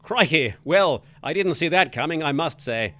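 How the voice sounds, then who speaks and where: Man, spoof old-time wireless host, jolly pompous patter, fairly high voice. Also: lively intonation, normal speed, over loud music. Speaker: radio show host. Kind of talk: spoof 1940s wireless announcer. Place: studio.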